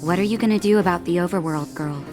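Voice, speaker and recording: A woman playing a caring, knowing doctor in a video game, gentle but firm, with music behind. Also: on the low side, fast, natural voice. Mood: gently teasing. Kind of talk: scripted game cutscene acting.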